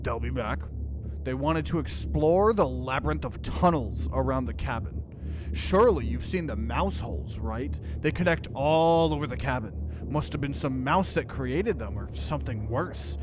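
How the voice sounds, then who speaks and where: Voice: medium pitch, expressive, normal speed, noisy background, natural voice. Game streamer voicing a blunt, sardonic talking potato. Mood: grim, matter-of-fact. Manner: lecturing, sardonic. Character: blunt, scolding talking potato, mock-helpful survival lecturer. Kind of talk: acted visual novel reading.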